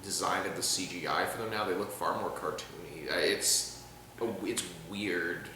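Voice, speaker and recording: Male film reviewer, lowish pitch, sarcastic rambling delivery, very reverberant room with faint hiss. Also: normal speed, pushed and bright. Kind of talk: casual chat. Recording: very echoey room, faint noise.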